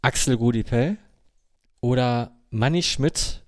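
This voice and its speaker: A man's voice: medium pitch, slow, dry recording, clean studio sound. A man hosting a casual podcast quiz, teasing a little and repeating himself for emphasis. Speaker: podcast host running a quiz. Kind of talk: casual quizmaster chat.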